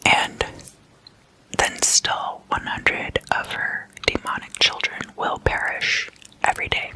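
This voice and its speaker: Very slow, hushed ASMR whisperer. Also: low-quality recording. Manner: hushed, explanatory, unhurried. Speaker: host of an ASMR series.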